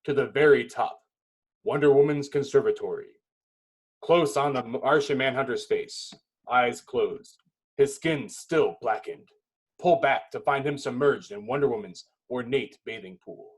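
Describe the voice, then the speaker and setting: A man with a husky voice at a middling pitch narrates a film script. He delivers stage directions dramatically, in short phrases broken by pauses. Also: rough recording. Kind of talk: dramatic screenplay narration in a table read.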